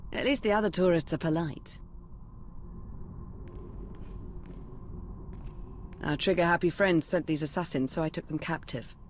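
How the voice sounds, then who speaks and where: An actress playing a loyal, level-headed military advisor in a video game, speaking in a crisp, dutiful way. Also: female voice, low-pitched, quick pace, slight background noise, natural voice. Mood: dry, composed. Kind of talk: video game cutscene acting.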